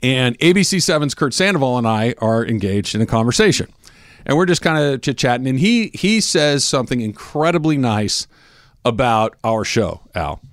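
A man's voice: mid-range voice, lively intonation, moderate pace, no echo, studio quality. A man in his early fifties hosting a talk show, telling stories in a casual, self-mocking way with emphatic repetition. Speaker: sports talk radio host. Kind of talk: casual banter and storytelling.

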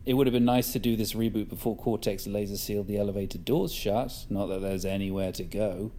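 An actor playing a glib, slightly awkward tech specialist in an audio drama, with a casual way of explaining things. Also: male voice, on the low side, steady tone, a little fast, dark tone, a little hiss or hum, lightly acted. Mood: casual, evasive. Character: glib, slightly awkward techie man, quietly scheming. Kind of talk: naturalistic audio drama acting.

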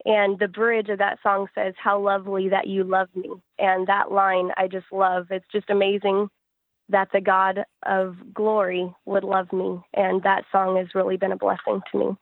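A woman answers interview questions as a singer-songwriter in a conversational way. Her pitch moves very little, staying even from phrase to phrase. The audio is narrow and phone-like, with a dry sound. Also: normal speed, no echo.

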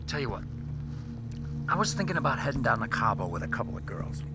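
An actor playing a sinister, falsely friendly abductor in an audio drama, coaxing with calm, chummy menace. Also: male voice, mid-range voice, fast, creaky, loud music behind the voice. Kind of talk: audio fiction acting.

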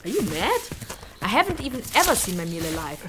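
A woman's voice: medium pitch, sing-song, fast, noisy background, natural voice. A woman acting as a plump, squeamish, cheeky young human traveller in a fantasy audio drama, whiny and given to playful sulking and exclamations. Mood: squeamish, reluctant.

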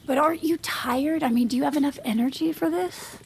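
A woman's voice: high-pitched, a little fast, vocal fry, a little hiss or hum. An actress playing a guarded, weary, dry-witted wife and mother, giving clipped, deflecting answers in a naturalistic audio drama.

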